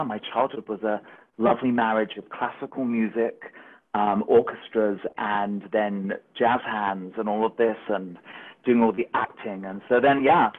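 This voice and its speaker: A man who works as a musical director, telling his story in a chatty, rambling way with many fillers over a remote call. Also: medium pitch. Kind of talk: casual storytelling on a podcast. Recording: tinny phone-like remote call audio.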